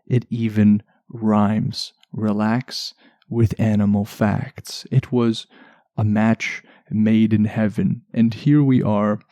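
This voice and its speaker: Soft sleepy podcast guy, really slow and mellow, gentle chatty asides, close dry sound. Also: fairly low pitch, flat delivery, dry recording. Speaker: podcast host. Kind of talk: relaxation podcast, soft soothing narration.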